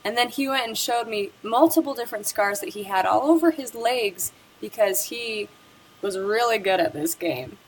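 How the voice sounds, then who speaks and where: A woman's voice: a little high, normal speed, a little hiss or hum, poor audio. A young woman presenting a school project interview, reading a prepared script about the person she talked with. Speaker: student presenter.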